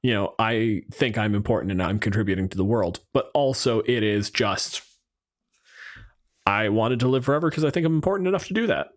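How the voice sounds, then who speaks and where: A man's voice: lowish voice, animated, a little fast. A man hosting a podcast in a casual, joking discussion style, thinking aloud and arguing his points with some swearing. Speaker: podcast host.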